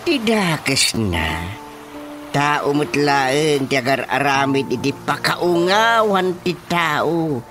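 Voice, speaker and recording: Village chairman, Ilocano accent, radio drama, exclamatory, pious, highish voice, unhurried, music underneath. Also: big pitch swings. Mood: dismayed, preaching. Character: plain-spoken, pious male village chairman. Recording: music bed under dialogue.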